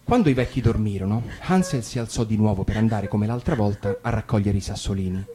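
A man reading a fairy tale aloud on radio in a calm, measured storytelling voice. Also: on the low side, slight background noise. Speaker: reader. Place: radio studio. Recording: guitar music underneath.

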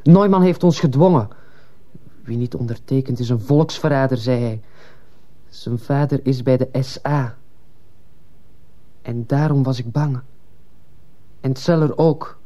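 Very soft, dark-toned earnest schoolboy male actor. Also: medium pitch, a little slow, pauses often, natural voice. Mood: earnest, afraid. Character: earnest, fearful schoolboy confiding in his teacher. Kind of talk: radio drama dialogue.

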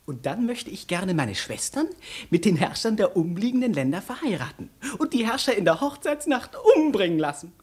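An actor playing a naive, greedy young prince, deferential, excitable and given to chuckling, in 1950s radio play style. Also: male voice, high-pitched, normal speed. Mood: gleeful scheming.

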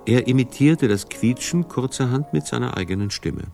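A man's voice: medium pitch, animated, normal speed, creaky, dark tone, faint music behind. A man reading scripted narration for a radio documentary.

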